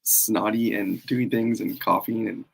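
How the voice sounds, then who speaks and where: A man with a cold and a worn, hoarse voice, rambling casually through a live stream as he launches his course. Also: lowish voice, fairly even intonation, unhurried, rough recording. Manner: rambling, self-deprecating, frequent fillers.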